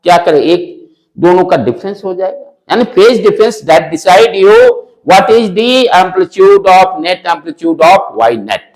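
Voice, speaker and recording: Indian guy giving a physics class lecture, voice a bit high, repeats key phrases, drops in english bits. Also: expressive, slow pace, rough recording. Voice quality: loud voice. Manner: poses questions and answers them, repeats key phrases. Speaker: physics teacher.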